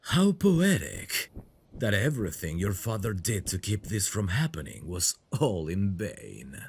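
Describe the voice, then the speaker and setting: A man playing a possessive, teasing fantasy villain devoted to his bride in an intimate ASMR audio drama, with a gravelly murmur that often drops into breathy whispers. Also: low voice, very animated, a little hiss or hum, exaggerated voice. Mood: smug, menacing. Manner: slow, teasing, seductive delivery.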